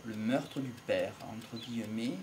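Middle-aged man, reflective amateur lecturer, unhurried halting delivery, outdoor recording with some background noise and birds. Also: mid-range voice, darkish tone. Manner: measured, halting, pausing between short phrases. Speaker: amateur lecturer on psychology and philosophy. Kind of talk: improvised reflective monologue. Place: outdoors in an open field by trees. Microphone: small wired mic held near the mouth.

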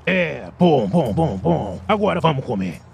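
Gravelly laid-back Brazilian gangster glutton played by a man. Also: medium pitch, very animated, measured pace, a little hiss or hum. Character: fat, food-obsessed gangster man, laid-back and stubborn. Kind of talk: game fan dub acting.

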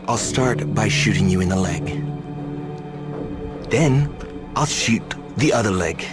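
An actor playing a brutal, threatening military interrogator in a video game. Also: male voice, fairly low pitch, expressive, slow. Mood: menacing. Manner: threatening, cursing. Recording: loud music behind the voice.

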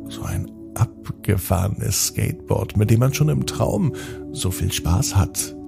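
A man telling a children's bedtime story in a slow, soothing, softly spoken way. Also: lowish voice, animated, creaky, music in the background. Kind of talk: gentle bedtime story narration.

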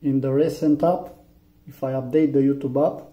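A man from Romania with a Romanian accent, English not his first language, giving a halting phone tutorial with many fillers. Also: mid-range voice, fairly even intonation. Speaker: tech tutorial maker. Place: room indoors, phone on a table. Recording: echoey, dull lo-fi room.

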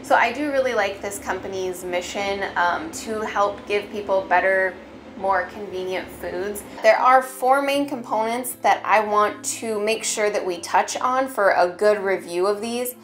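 A woman's voice: medium pitch, a little slow, fairly bright tone, slight background noise. A young adult woman casually reviewing keto snacks at her dining table, chatty and explaining step by step. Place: dining room at home, indoors.

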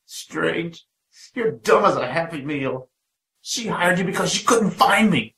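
A man's voice: fairly high pitch, expressive, measured pace, reverberant, crowd noise. An actor playing a battered, bitter, defiant man in a radio drama, sneering and strained. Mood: scornful.

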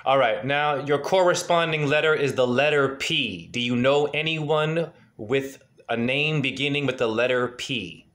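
A man in his forties talks in a mid-pitched voice over a webcam call from a dim room at home. He gives a freewheeling, earnest reading and keeps asking probing questions. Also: unhurried, hardly any pauses, fairly bright tone. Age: 40s. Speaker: spiritual numerology reader. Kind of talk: casual video-call name reading. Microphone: webcam on a video call.

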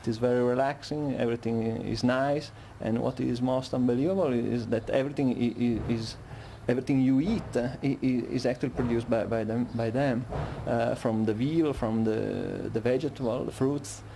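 Gravelly man, halting English. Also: medium pitch, steady tone, mellow, a little hiss or hum. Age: middle-aged. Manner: halting, repeats words while searching for English. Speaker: tourist guest. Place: outdoors on a terrace bench.

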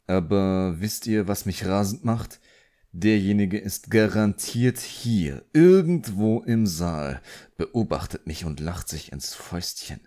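Audiobook role: a man as a seething, arrogant male wizard, slow emphatic agitation. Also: sits low, animated, mellow. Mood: seething anger.

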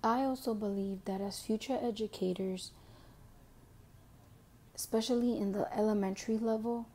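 A young woman with a Mexican background reading a prepared self-introduction as an education student, slowly and carefully. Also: mid-range voice, steady tone, dark tone. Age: young adult. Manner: slow, careful reading, switching to Spanish for a passage.

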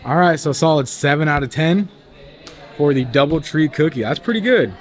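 A man's voice: fairly high pitch, animated, normal speed. A young man casually vlogging at a convention, upbeat and chatty, rating a cookie aloud. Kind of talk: casual vlog chat. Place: busy convention hotel hall, indoors. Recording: crowd chatter behind. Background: crowd chatter.

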